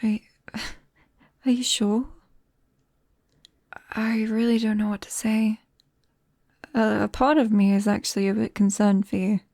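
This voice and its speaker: A British woman playing a shy, nervous vampire best friend in an ASMR roleplay, speaking softly and haltingly and often slipping into a whisper. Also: medium pitch, fairly even intonation, no room sound, studio quality. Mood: stunned, shy. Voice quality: soft, breathy, often dropping to a whisper. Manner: hesitant, bashful, halting.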